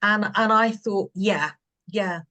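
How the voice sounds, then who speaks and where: A woman's voice: mid-range voice, slow pace, sharp tone. A woman author chatting with readers on a video call, rambling and self-deprecating. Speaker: author answering reader questions. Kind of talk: casual video call chat.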